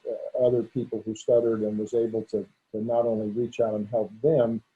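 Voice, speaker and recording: A man's voice: on the low side, dark tone. A man in his sixties, a veterinarian being interviewed, talking openly and stuttering with repetitions and restarts. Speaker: guest, veterinarian. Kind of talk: conversational interview. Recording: dull lo-fi audio.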